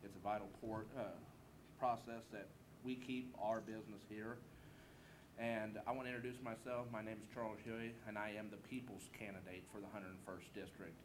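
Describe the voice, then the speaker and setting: A man's voice: fairly low pitch, flat delivery, a little fast. A man from southeast Missouri with a Southern American twang, giving a campaign speech as a political candidate. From Southeast Missouri, United States. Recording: noisy live event room recording.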